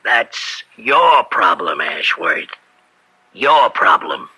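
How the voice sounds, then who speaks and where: An actor playing an aggrieved, scheming crime boss in a radio drama, talking in rough streetwise grammar and pressing his questions. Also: fairly low pitch, sing-song, very slow, heavy background noise, heavily acted. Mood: coolly scheming. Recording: bright old-time radio recording.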